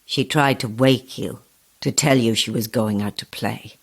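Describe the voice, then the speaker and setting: A woman's voice: a bass voice, a little slow, breathy edge, exaggerated voice. An actress playing a sinister older woman, sweetly mocking and gleefully cruel, with sing-song, gloating delivery in a horror audio drama.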